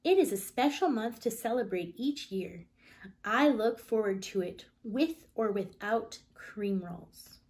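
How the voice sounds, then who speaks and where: A woman in her thirties reading a children's picture book aloud with expressive, emphatic storytelling delivery. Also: medium pitch, takes it slowly.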